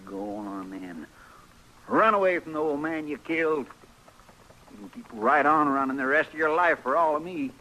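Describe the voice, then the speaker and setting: An actor playing an excitable, grumbling but loyal frontier deputy with a folksy rural Western drawl in an old-time radio western. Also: male voice, on the high side, moderate pace. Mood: bitter. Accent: rural American Western drawl. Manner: folksy, homespun, drawling complaint. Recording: noisy vintage radio broadcast.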